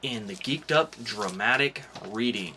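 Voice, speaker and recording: A man's voice: lowish voice, animated, a little slow, bright, forceful tone, a little hiss or hum. A man hosting a podcast in a casual, chatty style. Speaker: podcast host.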